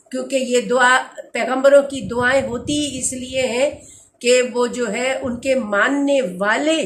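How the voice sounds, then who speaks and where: Female lecturer, slightly high voice, unhurried and patient, repeats words as she explains, faint background noise. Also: a bit bright. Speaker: teacher. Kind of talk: slow Quranic grammar lecture.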